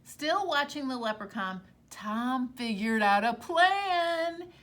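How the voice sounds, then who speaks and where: Bright-voiced woman reading to children. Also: high-pitched. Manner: animated, pausing to explain to young listeners. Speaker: teacher reading aloud. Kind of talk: expressive storybook read-aloud for children.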